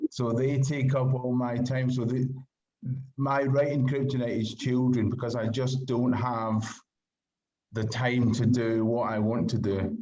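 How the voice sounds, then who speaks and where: A man's voice: medium pitch, level tone, unhurried, mellow. A middle-aged man with a Scottish accent, answering casually as a writer being interviewed, heard through lo-fi call audio. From Glasgow, Scotland.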